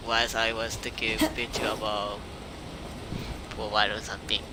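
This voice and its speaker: A man who is a non-native English speaker, giving casual, hesitant live-stream commentary on a game. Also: monotone. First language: not English. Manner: halting, hesitant phrasing. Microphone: uncovered microphone, prone to breath pops. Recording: noisy microphone with clicks and rumble.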